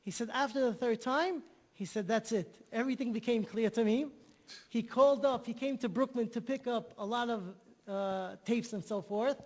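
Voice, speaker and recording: A man's voice: very high-pitched, normal speed, in a large hall. A man telling an enthusiastic story as a guest on an interview show, often repeating himself. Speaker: guest, charity organizer.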